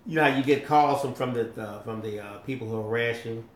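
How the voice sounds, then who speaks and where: A man's voice: mid-range voice, fast talker. Joking man stumbling over his words. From Michigan, USA. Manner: joking, stammers and repeats words. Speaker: co-host, husband. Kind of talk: casual live-stream chat. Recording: echoey room.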